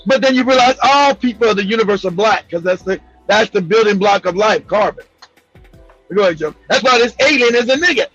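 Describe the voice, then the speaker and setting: A man hosting a casual talk show, speaking in a profane, excitable way and laughing often. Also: sits high, fairly quick, muffled recording, faint music behind. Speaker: host.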